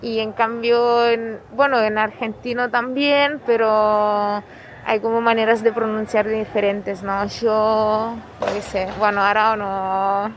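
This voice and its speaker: A woman's voice: medium pitch, level tone, slow, background noise. A woman in her thirties with an Italian accent, chatting agreeably in a street interview. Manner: chatty, agreeing, laughs easily. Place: city street outdoors. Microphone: handheld interviewer mic.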